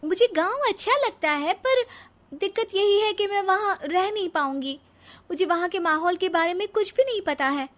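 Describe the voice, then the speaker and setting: A woman's voice: very high voice, animated, moderate pace, voice pushed up. A woman voicing a reluctant, scheming young city bride in a Hindi cartoon story, exclamatory and complaining.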